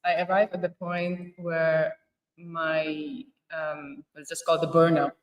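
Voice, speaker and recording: A woman's voice: sits low, flat delivery, takes it slowly, reverberant, distant mic, highs cut off. A woman from Poland, a podcast guest talking casually about her life, laughing often and searching for words. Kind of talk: casual interview chat.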